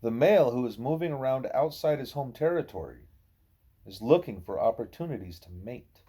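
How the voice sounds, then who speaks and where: Male narrator for literary audiobook: soft husky timbre, relaxed tone, measured explanatory phrasing. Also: medium pitch. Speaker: audiobook reader. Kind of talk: scripted narration of a novel in dialogue.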